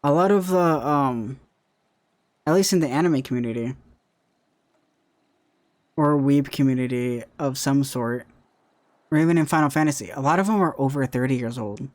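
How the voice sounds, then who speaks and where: A man's voice: mid-range voice, moderate pace, long pauses. A young man, a content creator, chatting casually about his future, rambling and often repeating himself with "right?". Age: young adult. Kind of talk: casual chat.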